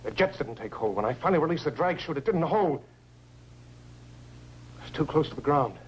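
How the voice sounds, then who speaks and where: High-pitched, dazed astronaut played by an actor, vintage sci-fi. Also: male voice, lively intonation, fairly quick, natural voice. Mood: uneasy, bewildered. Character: dazed astronaut, shaken survivor of a crash landing. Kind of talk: 1950s science fiction film acting. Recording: noisy old film soundtrack with hum.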